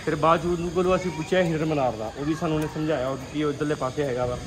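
Male, Indian Punjabi accent, slightly high voice, very rapid inquisitive chat, noisy handheld sightseeing recording. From Amritsar, Punjab, India. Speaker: visiting guest on a travel vlog. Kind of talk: casual chat while sightseeing. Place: historic fort, museum rooms and open grounds. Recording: noisy handheld vlog with ambient noise.

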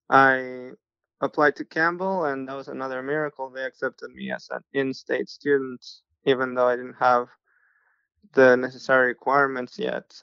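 Gravelly-voiced man from Mexico, smooth and level. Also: mid-range voice, no room sound, muffled recording. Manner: earnest, steady telling of his life story. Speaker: podcast guest, pastor. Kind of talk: casual interview storytelling.

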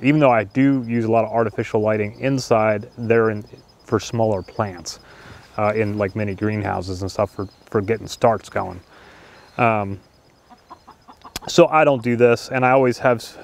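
A man's voice: mid-range voice, darkish tone. An older man vlogging casually to the camera outdoors in his garden, rambling along with lots of fillers and restarts. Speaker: homestead vlogger.

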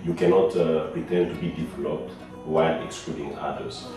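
A man's voice: extremely low pitch, little pitch movement, moderate pace, over music. A young man speaking to camera in an indoor room, hesitant and full of fillers and restarts. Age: young adult. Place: indoor room, plain wall. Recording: echoey room, mic at a distance.

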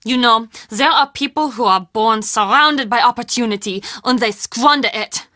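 A woman playing an earnest, defensive castle manager in a video game, speaking in a confiding, expressive, conversational way. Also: a little high, normal speed, no echo, studio quality. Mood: upset, pleading. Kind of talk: video game dialogue acting.

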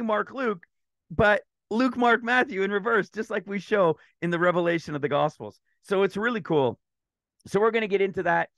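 Man, Bible teacher, high voice, dry close sound, a touch raspy today, eager, talks in loose runs with restarts. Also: dry recording. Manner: excited, rambling with restarts. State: slightly raspy throat. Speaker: ministry teacher. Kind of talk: screen-share Bible teaching.

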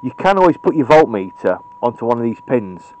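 A man's voice: medium pitch, sing-song, a little fast, darkish tone, a little hiss or hum. Blunt British man, dull lo-fi sound. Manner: blunt, opinionated. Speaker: radio repair hobbyist presenting a tutorial. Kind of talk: informal hands-on tutorial. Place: indoors at a cluttered electronics workbench. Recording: dull lo-fi camera microphone.

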